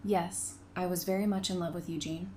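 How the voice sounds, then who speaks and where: A woman's voice: on the low side, steady tone, moderate pace, warm tone, slight background noise, lightly acted. A woman playing a guarded but compassionate survivor in an audio drama, speaking in a dry, blunt way. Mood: dry, challenging.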